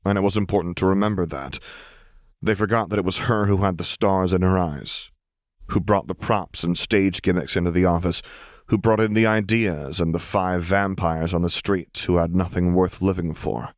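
Low-voiced man narrating a story. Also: steady tone, normal speed, no room sound, studio quality. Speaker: audiobook narrator.

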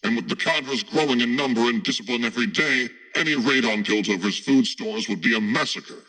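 A man voicing a cold, condescending council politician in an audiobook, husky, with a formal, lecturing, superior manner. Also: mid-range voice, brisk, bright, forceful tone, faint music behind, poor audio. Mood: calculating. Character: cold, condescending council politician favouring harsh trade measures. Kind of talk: audiobook character acting.

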